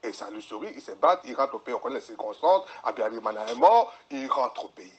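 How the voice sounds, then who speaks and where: A man's voice: medium pitch, animated, a little fast, muffled recording, slight background noise. A man from DR Congo, a guest analyst giving long explanations and often repeating words as he searches for them. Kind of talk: explanatory interview talk.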